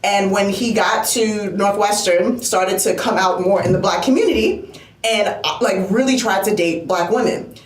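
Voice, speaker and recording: Young woman, from the US, podcast co-host, animated storyteller, roomy echo. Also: medium pitch, normal speed. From United States. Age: young adult. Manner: animated, acts out quoted voices. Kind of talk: casual chat. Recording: echoey room.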